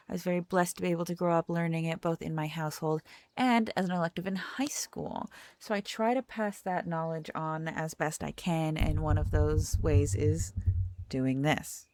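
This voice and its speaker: A woman's voice: fairly low pitch, fairly even intonation, normal speed, a little mellow, no echo. A young adult woman streaming a game, chatting in a rambling, self-correcting way and reading the text aloud. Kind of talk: casual let's-play chat and reading game text aloud.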